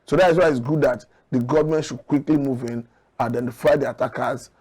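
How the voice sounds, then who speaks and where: A man with a Nigerian accent giving current affairs commentary as a guest analyst, measured and earnest as he argues his points. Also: mid-range voice, fairly even intonation, a little mellow, breathy edge.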